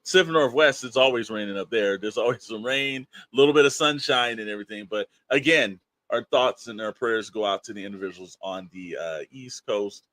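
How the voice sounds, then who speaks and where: A man's voice: on the low side, fairly quick, few pauses, a bit bright, no room sound. An American man co-hosting a podcast in casual, rambling talk peppered with verbal tags like "you know". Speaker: podcast co-host.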